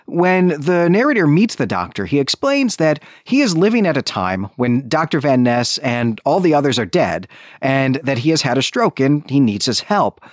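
A man talks as a co-host on a book discussion podcast, his voice sitting at an ordinary male pitch. He explains things precisely and qualifies as he goes. The recording is close and dry. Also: dry recording. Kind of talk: literary discussion podcast, recapping a story.

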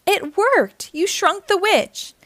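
A woman hosting a children's storytime, reading aloud in an animated, encouraging way and speaking directly to young listeners. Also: very high voice, takes it slowly, dry recording.

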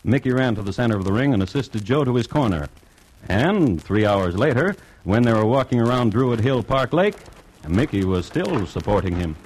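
An actor as a wry storytelling narrator in an old-time American radio drama, with an American accent. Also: male voice, mid-range voice, big pitch swings, normal speed, a little mellow, low-quality recording. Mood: dry, wry.

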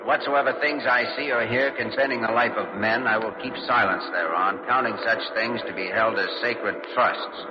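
Actor as solemn doctor, muffled old recording. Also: male voice, mid-range voice, steady tone, normal speed, few pauses, a crowd in the background, close to an everyday voice. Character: solemn doctor reciting an oath. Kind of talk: 1940s radio drama recitation. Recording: dull vintage radio recording.

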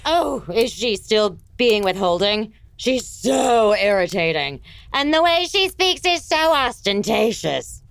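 Slow, dramatic villainous empress. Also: female voice, fairly high pitch, big pitch swings, vocal fry, no room sound, full character voice. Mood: haughty. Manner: haughty, theatrical, mercurial. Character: imperious, exasperated villainous empress commanding werewolf minions. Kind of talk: comedic fantasy audio drama acting.

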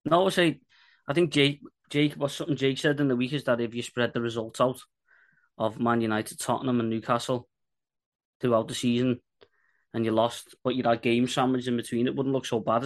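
A man's voice: mid-range voice, steady tone, a little fast. A man co-hosting a casual sports podcast, plain-spoken and self-mocking. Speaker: podcast co-host. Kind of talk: casual chat.

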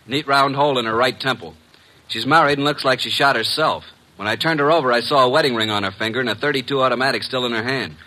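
An actor playing an eager, wisecracking detective's assistant in an old radio drama, plain-spoken and brisk. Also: male voice, mid-range voice, sharp tone, natural voice, poor audio. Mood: urgent. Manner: brisk, plain-spoken, deferential with wry cheek. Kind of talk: 1940s-1950s radio detective acting.

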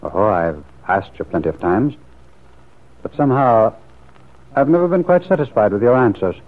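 A man's voice: lowish voice, animated, normal speed. An actor playing a suspicious, brooding man in a classic radio soap opera, earnest and probing, with hesitant phrasing. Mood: doubtful. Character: suspicious, brooding lover pressing for the truth. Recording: muffled lo-fi vintage radio recording.

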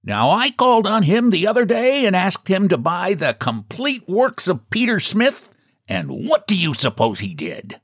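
A sly, shabby little book agent from a storybook, as a male narrator puts him on. His voice swoops widely and has a husky edge, with pompous, mock-courteous phrasing and an air of mystery. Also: sits high, big pitch swings, a little slow, a bit bright, dry recording, studio quality, pitched above the performer's own voice, heavily acted. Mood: indignant. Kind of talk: storybook character voice.

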